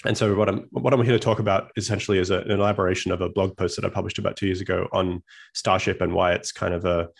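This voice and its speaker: Deep gravelly Australian man presenting. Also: steady tone, quick pace. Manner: friendly, self-deprecating, thinks aloud. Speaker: guest speaker, physicist. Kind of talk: online presentation with slides. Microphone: video call.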